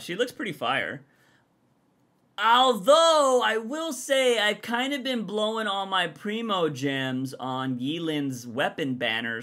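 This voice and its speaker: A man's voice: high voice, bright, forceful tone. A man in his thirties giving a casual live reaction in laid-back, slangy talk as he streams. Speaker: streamer reacting to a game trailer. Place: small indoor streaming room.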